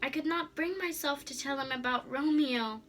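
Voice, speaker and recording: A woman's voice: high voice, moderate pace, fairly bright tone, faint music behind, voice pushed up. A woman voicing a desperate, grieving teenage girl in a storybook, pleading tearfully.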